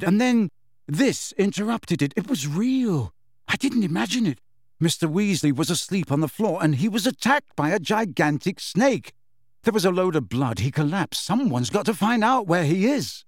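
A man's voice: high voice, very animated, unhurried, creaky, breathy edge, dry recording, clean studio sound, voice pushed up, full character voice. A man reading an audiobook, voicing a scared teenage boy who is desperate and furious, urgent and insistent. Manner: urgent, insistent, rising to shouts.

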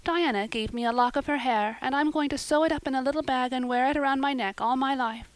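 A romantic young girl from a storybook, acted by a woman narrator, pitched high and full of drama. She speaks with great earnestness in flowery, old-fashioned turns of phrase, in a close, dry recording. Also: fast talker, no room sound, close to an everyday voice, rough recording. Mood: melodramatic woe. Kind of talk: audiobook character voice.

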